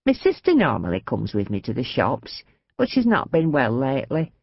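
A woman voicing a frail, chatty old northern lady with a high, quavering, mischievous voice in a storybook reading. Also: huge pitch swings, normal speed, vocal fry, slightly rough, no echo, lo-fi sound, exaggerated voice. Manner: gossipy, cheeky, confiding.

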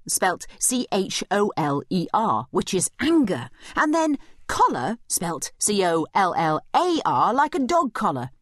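Animated, lower-pitched woman explaining. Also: no room sound, studio quality. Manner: explanatory, clearly spelling out points. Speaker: study guide narrator and commentator. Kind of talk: scripted educational commentary.

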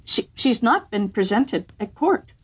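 A woman's voice: on the high side, big pitch swings, a little slow, slightly rough, full character voice. A woman voicing a haughty, fussy male lord in waiting in a storybook reading, stuffy and a little flustered.

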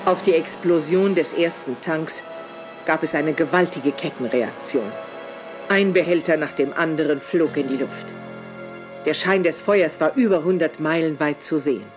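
Dramatic storyteller played by a man, voice pitched fairly high, radio-play narration over prominent music. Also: normal speed, lightly acted. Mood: urgent. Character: dramatic narrator of explosive disaster. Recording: studio audio drama over loud music.

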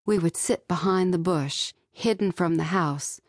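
Low-voiced woman narrating a novel. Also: a little slow, no room sound, studio quality. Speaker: author narrating own audiobook. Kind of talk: scripted first-person young-adult novel narration. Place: dry studio.

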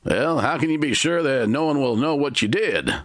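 A man voicing a calm, easygoing frontier bounty hunter in a Western story. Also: medium pitch, moderate pace, pitched above the performer's own voice, lightly acted. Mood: laid-back.

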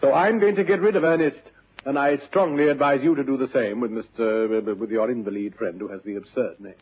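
An earnest, easily irritated English gentleman of the upper class, played by an actor, speaks in clipped stage-comedy style. He is quick and serious, with a rough, husky tone on a dull vintage recording. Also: mid-range voice, lightly acted. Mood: indignant.

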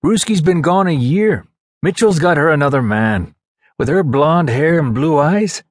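A man voicing brash, rowdy young Navy SEALs with loud, emphatic banter in an audiobook story. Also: a little high, lively intonation, unhurried, no room sound, studio quality, pitched above the performer's own voice. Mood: teasing, blunt.

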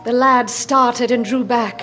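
A woman narrating a radio drama in a measured, descriptive way. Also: fairly high pitch, steady tone, sharp tone, soft background music. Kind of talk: scripted narration.

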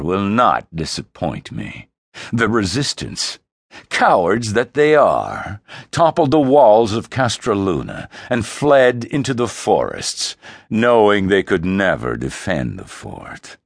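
A man voicing a cold, commanding old king who speaks slowly and sternly to his son. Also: fairly low pitch, sing-song, creaky, a bit bright, dry recording, clean studio sound, voice pushed up, exaggerated voice. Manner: slow, measured, commanding.

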